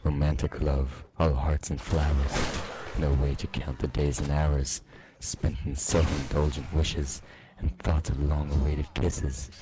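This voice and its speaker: A man reading a romantic poem aloud in a slow, theatrical, dramatic way. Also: rumbling low, flat delivery, background noise. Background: music.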